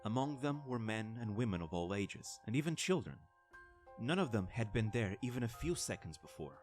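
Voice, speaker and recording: A man's voice: fairly low pitch, very animated, normal speed, faint music behind. A man narrating a scripted fantasy war story as the narrator of an audio drama.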